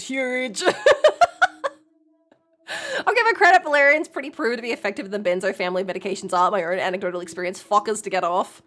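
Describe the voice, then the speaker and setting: A woman's voice: mid-range voice, fairly bright tone. A young woman streaming a game, chatting casually, blunt and swearing, laughing often. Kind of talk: casual live-stream commentary.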